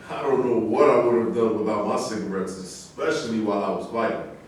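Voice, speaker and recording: A man's voice: on the low side, a little fast, close to an everyday voice. Guy acting a blunt jokey ex boxer, argues back, stage reading, super echoey w faint hiss. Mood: joking. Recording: very echoey room, distant mic, faint noise.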